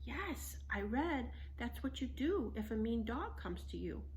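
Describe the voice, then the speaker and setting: A woman's voice: a little high, big pitch swings, unhurried, a little mellow, voice pushed up. Woman as young boy, storybook character, cautious, bossy, urgent delivery, slightly noisy recording. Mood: instructive.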